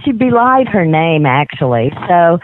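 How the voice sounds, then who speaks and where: A woman's voice: on the low side, sing-song, unhurried. A woman from Mississippi with a Southern US accent, chatting casually and effusively as an interview guest over a tinny phone line. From Mississippi, United States. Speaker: guest, animal sanctuary team leader. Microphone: telephone.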